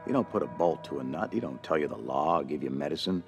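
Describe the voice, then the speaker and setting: A man's voice: rumbling low, animated, quick pace, soft background music. An actor playing a plain-spoken, weathered friend mourning a salesman in a dramatic stage reading. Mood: solemn, protective.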